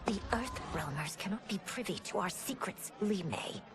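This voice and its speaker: A woman's voice: medium pitch, lively intonation, normal speed, music in the background, lightly acted. A woman playing a proud, commanding young princess in a video game, with formal, imperious speech. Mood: stern. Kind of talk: cinematic video game acting.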